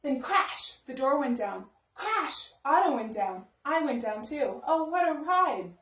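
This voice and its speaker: A woman's voice: high voice, big pitch swings, a little slow, fairly bright tone. A woman narrating a children's storybook expressively, with simple, emphatic phrasing for young listeners. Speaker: story-time reader. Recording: roomy sound, distant microphone.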